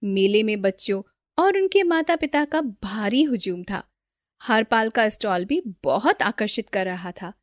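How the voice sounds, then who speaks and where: A woman's voice: mid-range voice, lively intonation, unhurried, no room sound, studio quality. A woman storyteller reading a scripted children's moral story as narrator.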